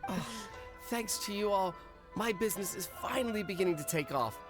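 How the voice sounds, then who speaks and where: An inventive, deferential stall owner in a game. The voice is high for a man and very lively, earnest and eager to please, over music. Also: fairly quick. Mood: eager, proud. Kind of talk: video game character acting.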